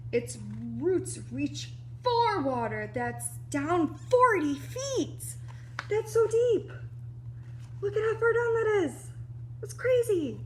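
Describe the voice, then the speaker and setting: A woman reading a children's picture book aloud like a preschool teacher, animated and explanatory, with little asides to young listeners. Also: high-pitched, slight background noise, low-quality recording.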